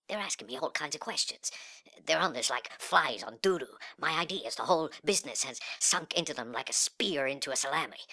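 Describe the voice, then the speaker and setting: A man performing a dramatic monologue as a sullen, cocky, nihilistic young slacker, with breathy, slangy, sneering delivery that swings between muttering and outbursts. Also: mid-range voice, bright, forceful tone. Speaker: reader of a literary monologue. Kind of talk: dramatic first-person monologue in slangy speech.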